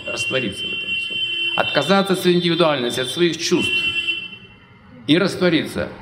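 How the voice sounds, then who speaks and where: A man's voice: mid-range voice, creaky, fairly bright tone, a little hiss or hum. A man giving a spiritual sermon on scripture, using rhetorical questions and little acted dialogues. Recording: echoey hall with long reverb.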